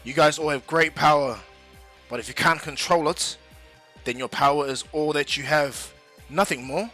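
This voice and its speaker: A man voicing a stern, blunt male teacher in an anime story, scolding firmly and deliberately. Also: fairly high pitch, pushed and bright, soft background music.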